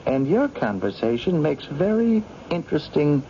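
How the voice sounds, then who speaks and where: Mellow, dark-voiced government agent. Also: male voice, fairly high pitch, very animated. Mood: confident. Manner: measured, matter-of-fact explaining. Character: assured government agent man confronting a spy. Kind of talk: radio drama acting. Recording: dull lo-fi radio broadcast, faint noise.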